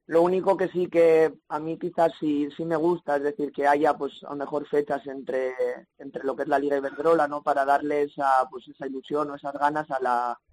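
Slightly hoarse Spanish man on noisy phone. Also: a little high, level tone, normal speed, hardly any pauses, darkish tone. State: somewhat hoarse. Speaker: radio panelist. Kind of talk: casual sports discussion. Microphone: telephone call. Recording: noisy telephone line.

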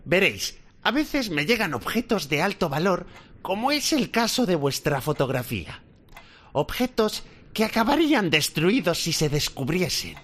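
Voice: medium pitch, big pitch swings, moderate pace, fairly bright tone. An actor playing a sly, melodramatic, hypersensitive smuggler in a radio drama, whining and self-righteous.

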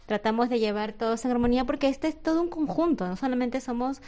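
A young woman with a Peruvian accent, her voice pitched fairly high. She answers as a volunteer, shy and searching for words. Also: fast, mellow. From Peru.

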